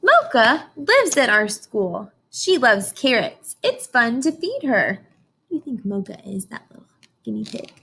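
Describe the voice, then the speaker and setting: A woman teacher reading a picture book aloud to young children, expressive, often pausing to question her listeners directly. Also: a little high, gravelly, breathy edge. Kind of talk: informational read-aloud lesson for young children.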